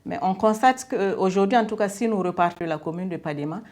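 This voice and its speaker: A woman's voice: mid-range voice, a little fast, a little mellow. A woman with a Burkinabe accent giving an explanatory interview answer.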